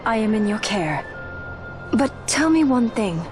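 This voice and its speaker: An actress playing a dignified, guarded young princess in a video game, speaking with formal, earnest poise. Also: female voice, on the high side, big pitch swings, a little slow, over music. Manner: formal, regal. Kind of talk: video game cutscene acting. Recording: game score behind dialogue.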